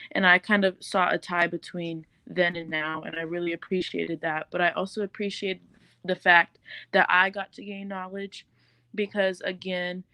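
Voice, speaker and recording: Gravelly, bright-voiced young woman. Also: fairly low pitch, no echo, low-quality recording. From New Mexico, USA. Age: young adult. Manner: earnest, reflective, with fillers and restarts. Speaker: student guest. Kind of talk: casual interview answers.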